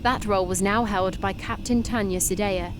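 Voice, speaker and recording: Female narrator, scripted storytelling, measured delivery, faint background noise. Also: medium pitch, steady tone.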